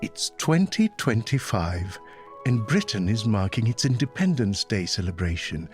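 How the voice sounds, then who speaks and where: A grand, pompous patriotic orator, played by a man gives a dramatic reading. The mid-pitched voice is animated and declamatory, proudly triumphant, with faint music behind. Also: close to an everyday voice. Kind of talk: dramatic reading of a political essay.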